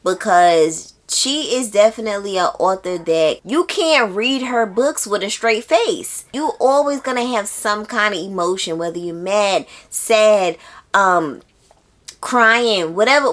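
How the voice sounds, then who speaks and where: A woman's voice: mid-range voice, fairly bright tone. A woman hosting a casual book review video, talking to viewers in an emphatic way and repeating phrases for emphasis.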